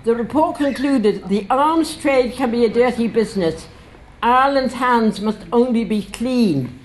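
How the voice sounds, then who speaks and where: A woman's voice: on the high side, unhurried, low-quality recording. Older woman, wry book launch talk. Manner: chatty and wry, laughing between readings. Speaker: author at her book launch. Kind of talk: speaking and reading aloud to a crowd. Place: indoor bookshop with a standing crowd. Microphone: stand mic at a lectern.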